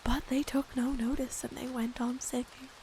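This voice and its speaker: A woman with a stuffy nose reading a story aloud in a soft, gentle ASMR whisper. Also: sits high, little pitch movement, normal speed, a little hiss or hum.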